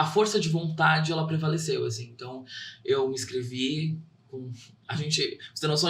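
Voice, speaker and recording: A man's voice: on the high side, fairly bright tone, far from the mic. A young man from São Caetano, a theater actor who speaks as a guest in an earnest, heartfelt way. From São Caetano, Brazil. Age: young adult. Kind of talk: interview answers. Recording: roomy sound, a bit off-mic.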